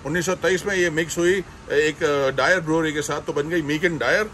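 A man's voice: fairly high pitch, steady tone, fairly quick, fairly bright tone, slight background noise. A man co-hosting a travel show, presenting the history of a place outdoors in an upbeat, casual way. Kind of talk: casual travel vlog narration. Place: outdoors at brewery yard.

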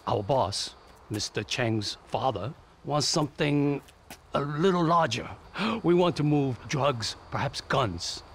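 A man's voice: medium pitch, expressive, slow pace, a little hiss or hum. An actor playing a formal, apologetic, guarded male go-between for a crime boss in a video game. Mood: nervous politeness. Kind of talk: video game cutscene acting.

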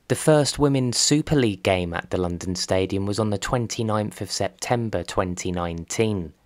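A man reading scripted documentary narration as a sports video host, in a measured, factual way. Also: on the low side, no room sound. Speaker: narrator and host of a football history channel.

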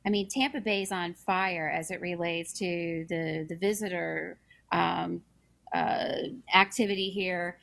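A woman's voice: lowish voice, steady tone, measured pace, vocal fry, fairly bright tone. A woman in her forties leading a nonprofit, talking upbeat and promotional with many ums over a video call. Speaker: nonprofit leader. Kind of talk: interview answers.

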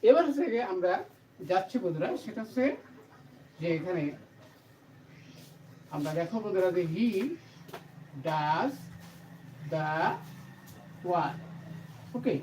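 A woman's voice: fairly low pitch, expressive, takes it slowly, pauses often, dark tone. A woman teaching grammar to camera, explaining emphatically and repetitively, asking questions and answering them herself. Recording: distant mic, faint background noise.

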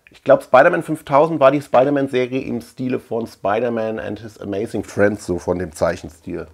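A middle-aged man from Germany chats casually to camera, thinking out loud in a colloquial, rambling way. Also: medium pitch, steady tone, a little fast, gravelly. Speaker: collector and video channel host. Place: small indoor room lined with shelves.

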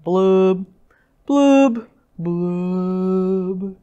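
A woman's voice: mid-range voice, drawn-out words, dark tone, highs cut off, a little hiss or hum. A woman voicing a glum, mopey male fish from a children's picture book, in a sing-song rhymed lament. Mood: glum, resigned.

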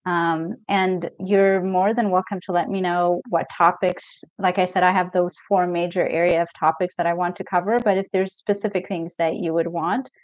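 A middle-aged woman from Ethiopia, a mental health coach hosting a live stream Q&A in a relaxed, chatty way, reading viewer comments aloud with many fillers. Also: on the low side, normal speed, dry recording. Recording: dull lo-fi stream audio, dry.

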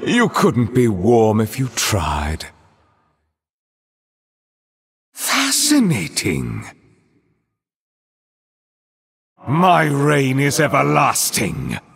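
An actor playing a haughty, sadistic vampiric lord in a video game, with theatrical, pompous, aristocratic delivery. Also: male voice, mid-range voice, vocal fry, breathy edge, full character voice. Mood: smug. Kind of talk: video game character voice lines.

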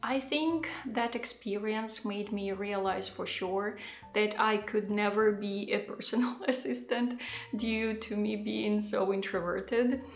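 A woman in her thirties talks slowly to the camera from her living room, speaking English as a second language. Her voice is of middle pitch and her delivery is thoughtful and unhurried. The room gives the sound a noticeable echo. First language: not English. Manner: thoughtful, unhurried, with soft laughs and gestures. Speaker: vlogger. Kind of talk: casual talking-head vlog. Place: home living room, indoors. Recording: echoey room.

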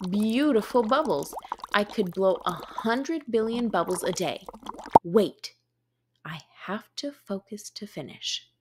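A woman voicing a busy, excitable little girl in a storybook, dramatic and exclamatory, stretching out her words. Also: a little high, exaggerated voice. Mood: excited.

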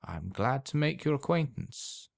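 A man's voice: mid-range voice, sing-song, normal speed, creaky, no room sound, clean studio sound, pitched above the performer's own voice. A man voicing a polite, sly old potion seller in a storybook reading, formal and precise in manner.

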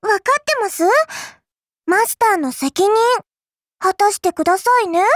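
Perky, very high-pitched smug little girl. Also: normal speed, no echo, clean studio sound. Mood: teasing, smug. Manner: perky, teasing, polite speech with cutesy exclamations. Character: cute mischievous young girl in a summer outfit, playfully smug. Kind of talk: Japanese anime mobile game voice lines.

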